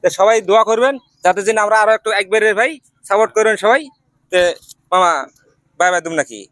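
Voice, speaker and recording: A man's voice: sits high, quick pace, fairly bright tone, dry recording. A man with a chatting casually as co-host of a video. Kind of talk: casual chat.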